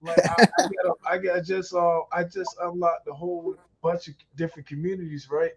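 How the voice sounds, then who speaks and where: A man's voice: fairly high pitch, little pitch movement, brisk, over a noisy crowd, low-quality recording. A man hosting a casual online voice chat, slangy and loose, swearing often and laughing a lot. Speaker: host. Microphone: online call mic.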